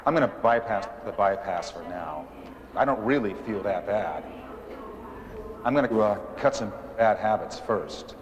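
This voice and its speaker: A man's voice: lowish voice, animated, measured pace. A man acting a worried, skeptical middle-aged heart patient in a medical dramatization. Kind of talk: acted scene in a medical dramatization. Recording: noisy room ambience.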